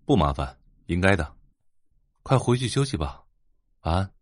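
A reserved young man in an audio drama, speaking in a low register with a dry, close sound. He is polite and considerate, using few words. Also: big pitch swings, moderate pace, dry recording. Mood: calm, courteous.

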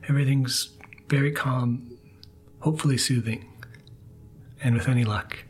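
A man giving a soft, soothing introduction to a bedtime podcast, breathy and at times nearly whispering. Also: mid-range voice, steady tone, moderate pace. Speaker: podcast episode producer introducing the show. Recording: music bed behind the voice. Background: music.

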